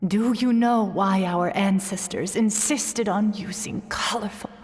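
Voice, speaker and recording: Woman doing a frail old wise lady for a game, slow and breathy, big echo. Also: medium pitch, steady tone, lightly acted. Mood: serene, frail. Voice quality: breathy, weak. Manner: slow, gentle, halting. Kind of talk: video game voice acting. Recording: long echoing reverb.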